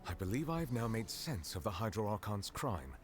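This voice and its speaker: A grave, formal judge. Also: male voice, lowish voice, very animated, a little hiss or hum. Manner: measured, formal. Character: solemn male chief judge, grave and formal. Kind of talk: game dialogue. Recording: game soundtrack underneath. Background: game music.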